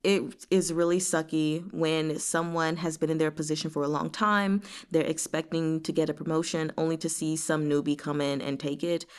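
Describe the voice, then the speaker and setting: A woman speaks with a clean, smooth tone that sits a little low for a female voice. She hosts a read-along in a casual, chatty way. Her delivery is expressive and digressive, wandering into little asides as she shares her thoughts. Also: moderate pace, few pauses. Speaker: host of a book read-along. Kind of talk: casual chatty book discussion.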